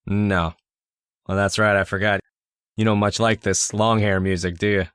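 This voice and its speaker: Actor as moody outpost partner, dry close sound. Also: male voice, fairly low pitch, level tone, moderate pace, fairly bright tone, clear, resonant tone, no room sound, natural voice. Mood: nostalgic. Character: moody, homesick, touchy male outpost partner. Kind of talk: old-time radio drama acting.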